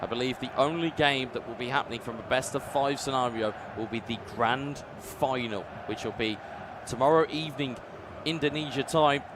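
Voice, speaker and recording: A man calls an esports football match play by play, his voice sitting at a middle pitch. He turns excitable and booming whenever a chance comes. Faint traffic noise hums in the background. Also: moderate pace, few pauses. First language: English. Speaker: esports commentator. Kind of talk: play-by-play commentary.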